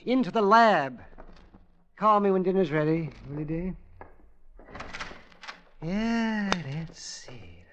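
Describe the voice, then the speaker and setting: Distracted scientist husband, slowish, hesitant, higher voice, old radio drama. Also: male voice, lively intonation, fairly bright tone, full character voice. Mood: preoccupied. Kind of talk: 1950s radio acting. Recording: dull old radio recording, faint noise.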